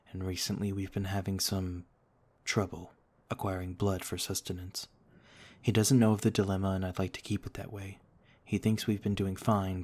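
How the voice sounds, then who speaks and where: A man acting a courteous, caring vampire lord in an ASMR roleplay, speaking in a gentle, formal, measured way. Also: sits low, breathy edge. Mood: calm, confiding. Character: courteous, caring noble vampire lord, male, calm protector.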